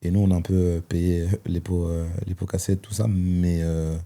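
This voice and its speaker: A man, telling his life story as a guest, thoughtful and hesitant with many pauses and fillers. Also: low-pitched, level tone, warm tone. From Belgium. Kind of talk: personal testimony in conversation.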